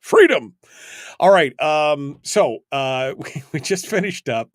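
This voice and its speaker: Animated male talk radio host, dry studio sound. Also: fairly high pitch, takes it slowly, no room sound. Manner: emphatic, agitated, self-mocking rambling. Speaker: radio call-in show host. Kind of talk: freeform talk radio commentary. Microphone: close studio microphone.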